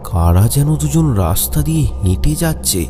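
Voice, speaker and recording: A man's voice: mid-range voice, very animated, normal speed. A man narrating a scripted horror story in a suspenseful, dramatic manner, with music behind him.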